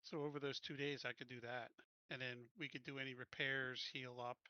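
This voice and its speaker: A man's voice: medium pitch, level tone, fairly quick, pushed and bright, smooth, no echo, dull audio. A man chatting casually as a player in a tabletop roleplaying game session.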